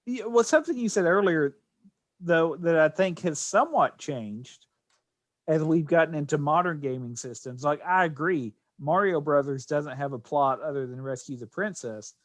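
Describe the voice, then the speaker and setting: A man chats casually as a co-host on a panel podcast. His voice sits a bit high for a man, recorded very dry with no room around it. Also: animated, normal speed, dry recording.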